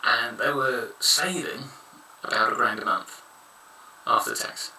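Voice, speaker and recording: A man's voice: mid-range voice, unhurried, pushed and bright, slight background noise. A man in his thirties giving frank, rambling advice in a casual monologue. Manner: frank, rambling, blunt opinions. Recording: echoey room, somewhat far from mic.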